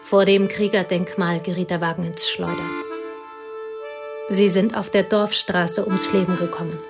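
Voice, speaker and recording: An actress playing a bitter, lonely woman confiding old betrayals in a hushed, intense voice. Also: fairly low pitch, little pitch movement, normal speed, music in the background, natural voice. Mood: bitter confession. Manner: hushed, intense, often whispering. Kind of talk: radio play acting.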